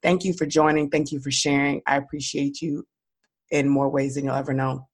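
A woman hosting her own podcast alone, her voice raspy and hoarse from a cold, talking in an upbeat, encouraging way straight to her listeners. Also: very deep voice, flat delivery, a little fast. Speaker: podcast host. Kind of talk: solo podcast monologue.

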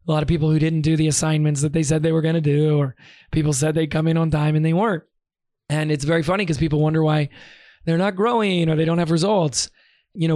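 Hoarse man, nearly voiceless. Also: a little high, a little mellow, dry recording. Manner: strained, pushing through pain. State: lost voice, hoarse. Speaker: podcast host. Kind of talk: casual solo podcast talk.

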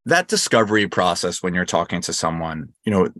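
A man's voice: deep voice, animated, brisk, fairly bright tone, no echo, clean studio sound. A man hosting an interview podcast, speaking in a polite, appreciative way as he introduces and questions his guest. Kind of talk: scripted introduction, then conversational interviewing.

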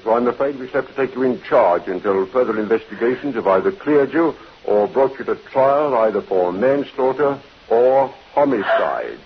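Actor as a measured police detective. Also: male voice, medium pitch, expressive, lightly acted. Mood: politely insistent. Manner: measured, formal, insistent. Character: courteous, persistent police detective. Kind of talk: 1950s radio crime drama acting. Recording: hissy, muffled old radio recording.

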